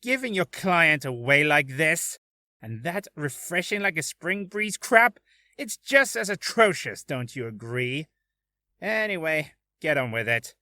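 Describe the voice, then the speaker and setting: A man's voice: on the high side, animated, unhurried, bright, forceful tone, dry recording, heavily acted. A man playing a slick young celebrity, laid-back yet arrogant, in a video game. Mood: cold, arrogant. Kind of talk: video game character acting.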